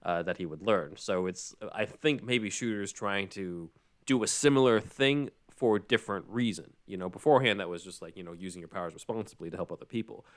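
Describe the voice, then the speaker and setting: A man's voice: sits low, fairly quick. A man hosting a podcast, explaining and recapping in a casual way with frequent asides. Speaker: podcast host.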